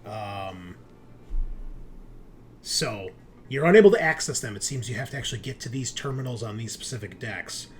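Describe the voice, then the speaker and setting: A man running a tabletop roleplaying game, narrating casually in a halting, searching, informal way. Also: medium pitch, expressive. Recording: clearly noisy recording with room ambience.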